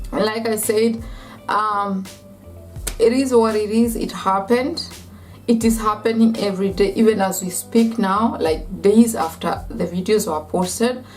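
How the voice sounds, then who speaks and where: A woman with a Kenyan accent ranting to camera as a vlogger, emphatic and repetitive, with lots of "like". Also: mid-range voice. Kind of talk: opinion rant to camera.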